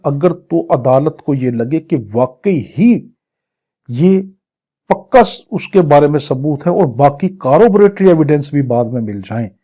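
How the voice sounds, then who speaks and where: A man's voice: a little high, mellow, muffled recording. A man with a Pakistani accent teaching an exam-prep law lecture, explaining step by step and posing questions that he then answers. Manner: didactic, poses questions and answers them.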